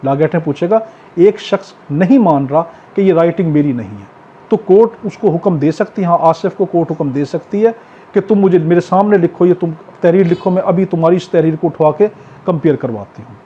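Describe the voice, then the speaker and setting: Male lecturer, Pakistani accent, raised pitch, instructive question-and-answer delivery, on dull lo-fi audio. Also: a little fast, warm tone, slight background noise. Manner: didactic, asks questions and answers them himself; repeats points for emphasis. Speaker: law lecturer. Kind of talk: exam-prep law lecture.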